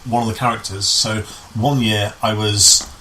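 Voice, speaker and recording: A man's voice: fairly low pitch, fairly even intonation, moderate pace, pushed and bright, slight background noise, low-quality recording. A man telling stories casually as an interview guest, restarting and repeating phrases as he explains. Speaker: amateur pantomime director, interview guest. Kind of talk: casual conversational storytelling.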